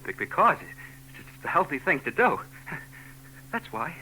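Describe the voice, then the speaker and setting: An American actor playing a nervous young debtor in a 1940s radio drama, stammering and pleading. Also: male voice, a little high, sing-song, a little slow, bright, forceful tone, heavily acted. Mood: frightened, evasive. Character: nervous, cornered young male debtor, a punk. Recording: humming, noisy old radio broadcast tape.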